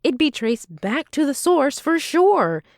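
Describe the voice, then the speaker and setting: Woman acting a lively, higher-voiced, firm lab partner. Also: takes it slowly, dry recording, clean studio sound, exaggerated voice. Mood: alarmed, insistent. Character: reassuring but coolly ruthless female lab partner. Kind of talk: audio fiction character acting.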